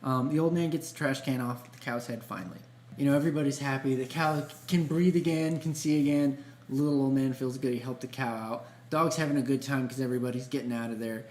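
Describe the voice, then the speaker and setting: A man's voice: mid-range voice, fairly even intonation, fast talker, a little mellow. A man co-hosting a podcast, telling stories in a casual, easygoing, colloquial way. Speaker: podcast co-host. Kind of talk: casual conversational storytelling. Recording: echoey room with hum and noise.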